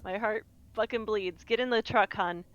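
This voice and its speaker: A woman's voice: mid-range voice, moderate pace. A woman playing a tabletop game, sardonic and blunt in her casual reactions, on a slightly dull microphone. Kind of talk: animated in-game chat.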